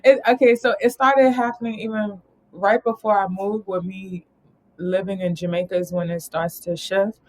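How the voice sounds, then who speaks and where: A woman's voice: mid-range voice, steady tone, normal speed, rough recording. A woman from New York talking casually as an interview guest, rambling and laughing often. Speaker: guest, travel company founder.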